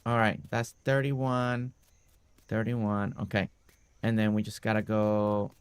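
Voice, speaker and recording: A man's voice: fairly low pitch, little pitch movement, takes it slowly, clean tone, dry recording. A man streaming a building game, chatting casually with viewers by name and a little congested. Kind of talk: casual live-stream chat while building.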